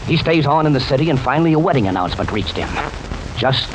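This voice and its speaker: A man's voice: a little high, animated, fast, close to an everyday voice. A man playing an insistent juror, telling a persuasive tale in emphatic, slangy 1940s radio style. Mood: persuasive, intent. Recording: noisy 1940s radio recording.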